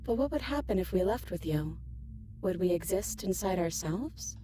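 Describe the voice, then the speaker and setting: An actress playing a calm, otherworldly princess goddess who speaks as many selves, in a dramatic video game. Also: female voice, lowish voice, moderate pace, noisy background, close to an everyday voice. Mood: tender, searching. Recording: loud music behind the voice.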